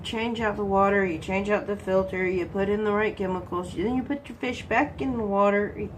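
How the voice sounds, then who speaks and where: A woman's voice: medium pitch, a little hiss or hum, rough recording. A congested woman from Texas, chatting casually while she works on a craft and answering her own questions. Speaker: crafting vlogger.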